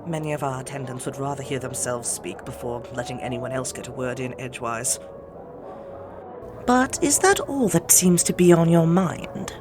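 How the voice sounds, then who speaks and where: A woman's voice: a bass voice, lively intonation, normal speed, over music. An actress playing a regal, doting and shrewd mother queen of the gods in an audio drama, speaking with formal, coaxing poise. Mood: tender, coaxing.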